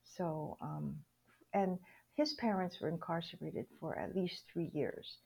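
An older woman from Hawaii telling personal stories as an interview guest, reflective and halting, with a husky voice. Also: on the low side, a little mellow. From Hawaii, United States. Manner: reflective, halting, acts out remembered dialogue.

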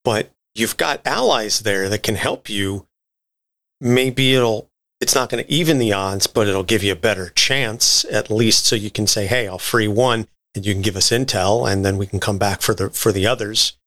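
Male panelist, fairly low voice with a sharp bright edge, colloquial explainer. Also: normal speed. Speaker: podcast panelist. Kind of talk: casual chat, reviewing a cartoon.

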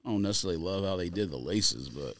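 Low-pitched, blunt man in casual podcast chat. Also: lively intonation, quick pace, no echo, clean studio sound. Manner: slangy, blunt complaining. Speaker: podcast co-host. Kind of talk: casual sneaker podcast banter.

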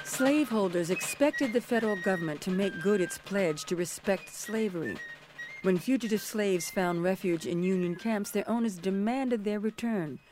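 A woman's voice: medium pitch, moderate pace, background noise. A woman narrating scripted history for a dramatic reading.

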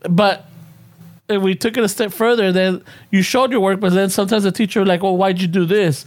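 A man with a fairly high voice in a loose, rambling chat, slipping between English and Spanish, swearing freely and chuckling at his own stories. He talks like he's among old friends. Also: steady tone, brisk, dry recording.

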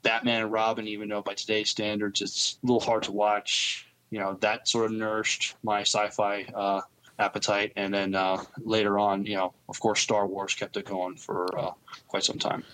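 Lowish, dry-sounding man chatting. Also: monotone, normal speed, fairly bright tone, no room sound, poor audio. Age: 30s. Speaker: podcast co-host. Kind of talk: casual chat.

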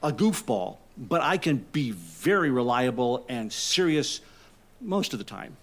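A man's voice: mid-range voice, big pitch swings, unhurried. A man playing a goofy, eager, flustered office worker in an audio comedy, with a wisecracking manner. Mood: sheepish.

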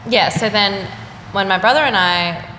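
A young woman, an artist chatting casually in an interview, full of fillers and quick laughs. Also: mid-range voice, sharp tone. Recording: echoey room with faint noise.

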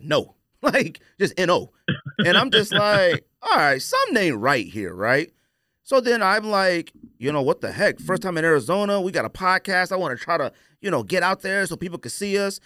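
A man's voice: on the high side, bright, forceful tone, no room sound, clean studio sound. A man hosting a podcast, telling stories in a casual, colloquial, opinionated way and acting out quoted exchanges. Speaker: podcast host.

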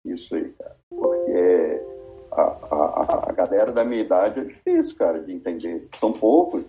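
A man's voice: low-pitched, lively intonation, normal speed, highs cut off, music in the background. Rambling man in his sixties. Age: 60s. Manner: rambling, many restarts and fillers. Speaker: podcast guest. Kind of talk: casual chat.

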